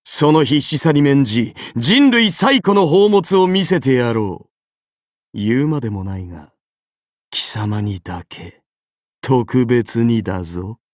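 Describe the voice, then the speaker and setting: A man's voice: medium pitch, big pitch swings, slow, no room sound, clean studio sound. An actor playing a haughty, easily bored golden-armored king in an anime game, pompous, imperious and condescending. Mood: quietly indulgent. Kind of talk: dramatic anime game character voice acting.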